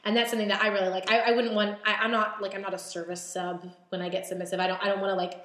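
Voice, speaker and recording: A young woman in her twenties, a podcast guest talking candidly and haltingly, with lots of fillers and restarts. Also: medium pitch, flat delivery, fairly bright tone. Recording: roomy echo.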